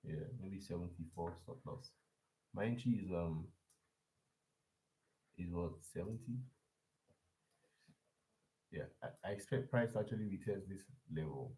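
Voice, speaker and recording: A man's voice: low-pitched, unhurried, pauses often, dark tone. A man live-streaming a forex chart breakdown and talking loosely to his chat, with restarts and repeats. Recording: echoey room.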